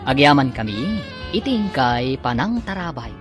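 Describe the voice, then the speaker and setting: A woman's voice: a bass voice, big pitch swings, normal speed. A woman announcing and narrating a radio drama in a scripted style. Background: music.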